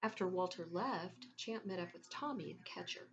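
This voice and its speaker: A woman's voice: fairly low pitch, moderate pace, creaky, highs cut off. Woman reading to children, noisy background. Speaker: teacher reading aloud to students. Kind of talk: expressive children's read-aloud with questions to listeners.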